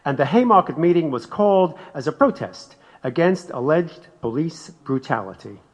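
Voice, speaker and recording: An actor playing a solemn state governor giving a formal, declamatory speech in a radio drama. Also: male voice, on the high side, animated, moderate pace. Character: solemn 19th-century male state governor making speech. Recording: dull recording in reverberant hall.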